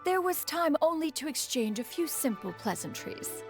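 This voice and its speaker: A woman's voice: high voice, sing-song, moderate pace, over music. A woman voicing a proud, aloof immortal master in a video game, speaking formally and archaically with hidden fondness. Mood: reserved. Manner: haughty, formal, archaic, calls herself "one". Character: proud, aloof female immortal adeptus master, secretly fond. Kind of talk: video game character acting.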